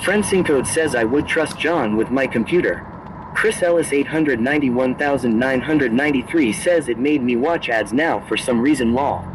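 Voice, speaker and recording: A male text-to-speech voice reading out viewer chat messages in a flat synthetic delivery. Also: medium pitch, moderate pace, background noise.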